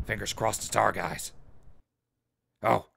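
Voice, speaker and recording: An actor playing a folksy, upbeat radio host and newsreader in a post-apocalyptic settlement drama, chatty and theatrical. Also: male voice, lowish voice, steady tone, slow, creaky, background noise, exaggerated voice. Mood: anxious, tense. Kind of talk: radio drama news broadcast.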